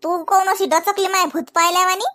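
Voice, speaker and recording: A very shrill, piercingly high cartoon village aunt, with a rural Vidarbha accent. She nags and grumbles with suspicious, pointed questions. The sound is dry and close. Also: fairly even intonation, a little fast, bright, forceful tone, no room sound. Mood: nosy, nagging. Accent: rural Maharashtra, Vidarbha. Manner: nagging, rhetorical questions. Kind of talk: comedy cartoon dialogue.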